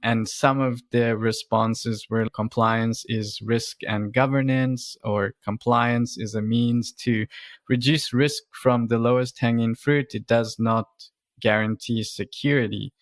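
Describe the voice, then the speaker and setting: A man's voice: on the low side, steady tone, measured pace, no echo. A man hosting an interview podcast, putting questions to a guest in a conversational way. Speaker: podcast host.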